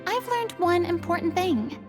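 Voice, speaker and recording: A woman's voice: very high-pitched, expressive. A woman voicing a proud, sentimental young lady with a theatrical manner in a video game. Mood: confident, grand. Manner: theatrical, measured delivery. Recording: long hall reverb, music behind.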